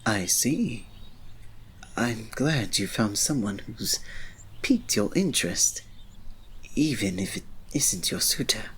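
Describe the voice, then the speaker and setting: Guy doing a shy reserved servant to royalty, asmr roleplay, slow n husky, super polite and formal. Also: on the low side, sing-song, heavily acted. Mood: gentle, polite. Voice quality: soft, breathy. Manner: soft, polite, formal, restrained, often dropping to a breathy murmur.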